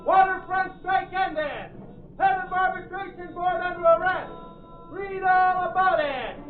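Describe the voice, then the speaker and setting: Very high, echoing newsboy cry. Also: male voice, fairly even intonation, measured pace, faint music behind. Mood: hawking. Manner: sing-song street cry. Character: street newspaper seller crying headlines, loud and drawn-out. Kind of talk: 1930s-1940s radio acting. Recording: tinny, echoing, distant old radio.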